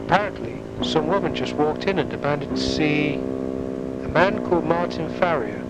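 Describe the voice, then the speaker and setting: A man acting a character in a dystopian sci-fi police drama for a motion comic, over a loud ambient rumble. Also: lively intonation, normal speed, loud music behind the voice, lightly acted. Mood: businesslike. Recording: very noisy, rumbling background.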